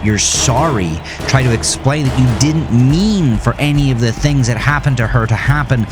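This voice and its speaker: A man reading his own horror story as a dramatic, intense scripted narration. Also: on the low side. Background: music under the voice.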